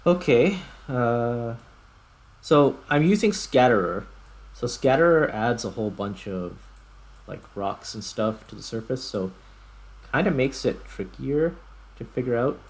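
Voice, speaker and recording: A man's voice: mid-range voice, lively intonation, a little slow. A man giving casual video game commentary as host, thinking aloud in short fragments. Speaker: gaming YouTuber, host. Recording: clear background noise.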